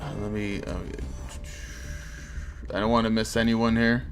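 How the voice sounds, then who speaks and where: A man's voice: fairly low pitch, little pitch movement, a little slow, faint music behind. A man with a Portuguese accent hosting a card game tournament on a live stream, casually chatting and answering viewers' comments. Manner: easygoing, chatty, reacts to chat.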